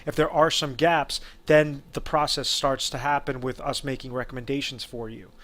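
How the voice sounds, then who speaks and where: A man's voice: mid-range voice, steady tone, normal speed, fairly bright tone. Man explaining retirement rollovers. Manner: unscripted, many fillers and restarts. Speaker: retirement advisor. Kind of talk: explainer video talk.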